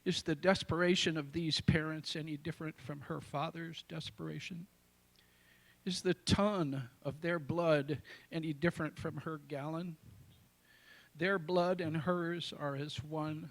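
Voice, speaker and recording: Higher-pitched older American man reciting poetry. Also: steady tone, a little slow, a bit bright, low-quality recording. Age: 60s. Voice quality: tenor voice. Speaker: amateur poet. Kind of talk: reciting own poetry to a live audience.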